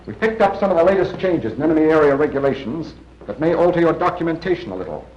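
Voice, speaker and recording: A man playing a seasoned, assured intelligence briefing officer in a 1940s training film, giving measured, plain-spoken advice. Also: on the high side, expressive, a little mellow, slight background noise, lightly acted. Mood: assured, advising. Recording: muffled 1940s film soundtrack.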